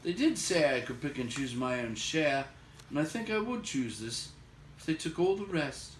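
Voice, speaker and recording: A man's voice: a little high, animated, normal speed, pitched above the performer's own voice, close to an everyday voice. Male reader as small nervous hobbit burglar, plaintive, self-doubting, fantasy storybook, roomy distant recording, faint noise. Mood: musing, uneasy. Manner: plaintive, crying out when frightened. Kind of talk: children's fantasy storybook read aloud.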